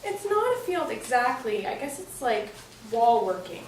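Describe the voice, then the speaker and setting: A woman's voice: on the high side, sing-song, measured pace. An actress in a staged play reading playing a dippy but well-meaning woman in her late twenties, breezy, guileless and flirtatious. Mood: cheerfully defensive. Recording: echoey stage, distant mic, noisy room.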